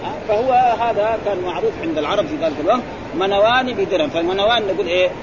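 A man teaching grammar, talking very fast and keeping his students on their toes with rapid prompts. His voice sits high for a man. The recording is dull and lo-fi, with murmuring people and street noise behind him. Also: a crowd in the background. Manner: quizzing students with frequent rising prompts. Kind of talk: classroom explanation.

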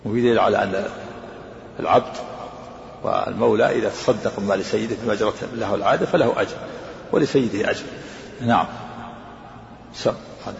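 A man teaching as a scholar, explaining texts in a rapid lecture and repeating his points. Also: lowish voice, gravelly, slight background noise. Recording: echoey hall, dull lo-fi sound.

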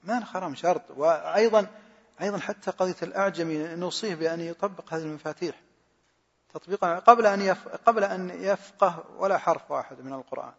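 A religious teacher taking questions after a class in a big echoing hall, a husky man repeating himself haltingly, caught on a muffled recording. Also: high-pitched. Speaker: lecturer answering questions on Quran study.

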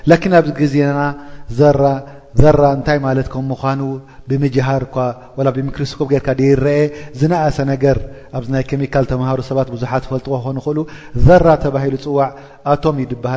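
Mellow-voiced male preacher, reverberant lo-fi recording. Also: medium pitch. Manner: asks the listeners rhetorical checks, intones quoted verses. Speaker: Islamic preacher explaining a Quran chapter. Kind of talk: religious lesson, reciting and explaining verses. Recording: dull lo-fi recording with long reverb.

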